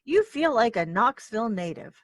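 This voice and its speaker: Bubbly higher-voiced woman host. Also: very animated, normal speed, no echo, studio quality. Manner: bubbly, laughs often, effusive. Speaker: podcast host. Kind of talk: casual interview chat.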